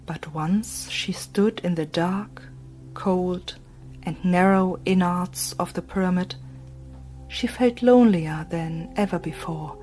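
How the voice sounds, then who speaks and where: A woman reading a literary story as an audiobook narrator, in a breathy, hushed, dramatic storytelling manner. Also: on the low side.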